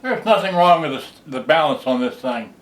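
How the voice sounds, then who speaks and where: A man's voice: a little high, expressive, normal speed, heavy background noise. A man giving a casual, unscripted product demo, muttering to himself as he goes. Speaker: video creator demonstrating a cassette radio. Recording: roomy, distant mic, loud music playing. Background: loud music from cassette radio.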